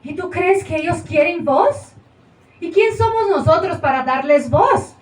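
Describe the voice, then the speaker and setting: A woman's voice: very high voice, animated, a little slow. A woman performing live theatrical dialogue on stage, talking to a partner and an audience. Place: small theatre workshop, recorded from afar. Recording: echoey, distant single wide-shot microphone.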